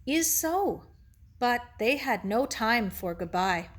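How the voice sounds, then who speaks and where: A woman reader gives us an elderly Japanese man from a children's novel, gentle and wise. He talks slowly in short, broken English phrases, measured and philosophical. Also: fairly high pitch. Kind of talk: children's novel read aloud.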